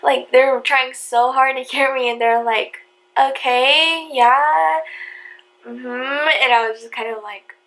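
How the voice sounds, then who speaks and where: A soft-spoken young woman telling a personal story to camera in a casual, rambling way, full of "like" and "you know," breaking off to laugh now and then. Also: sits high, fairly even intonation, slow, low-quality recording. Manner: filler-heavy, lots of "like" and "you know"; laughs between phrases. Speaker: vlogger.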